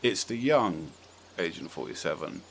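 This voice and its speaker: A man with a notably deep voice hosts a relaxed, casual discussion. He rambles tentatively, working out his thoughts aloud. Background noise is audible in the recording. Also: moderate pace. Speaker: podcast host. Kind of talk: casual film and game chat.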